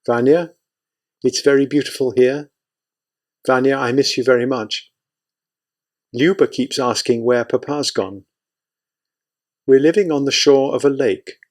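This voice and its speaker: A man voicing a tender, grieving young wife's letter in a soft, gentle reading. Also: mid-range voice, unhurried, long pauses, natural voice.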